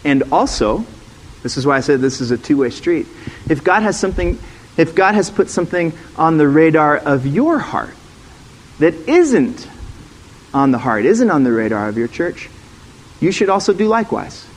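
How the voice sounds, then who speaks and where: A middle-aged man preaches in a relaxed, conversational way and often turns to the congregation with questions. His voice sits a little on the high side for a man. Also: normal speed, rough recording. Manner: conversational teaching, asks the room questions. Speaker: former pastor, guest speaker. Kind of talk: sermon.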